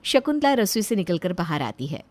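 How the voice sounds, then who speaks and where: A woman's voice: on the low side, big pitch swings, moderate pace. A woman narrating a scripted family story.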